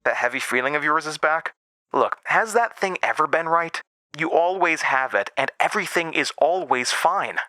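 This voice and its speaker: A man plays a fifteen-year-old boy prankster in an audio drama, eager and breezy. The voice is pitched up from an adult register and very bright, in a dry, close recording. He coaxes like someone always up for mischief. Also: lively intonation, moderate pace, no echo. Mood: breezy, reassuring. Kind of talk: acted dialogue within horror drama narration.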